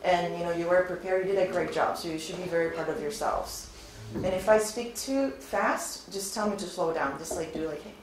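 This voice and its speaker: A woman's voice: lowish voice, a little fast. Chatty woman in an echoey classroom. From born in Venezuela, raised in Spain. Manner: upbeat, chatty, asks the audience frequent questions. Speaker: recruiter presenting to students. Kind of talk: informal presentation to a class. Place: classroom with seated students. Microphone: far camera, no visible mic. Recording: very echoey classroom, faint noise.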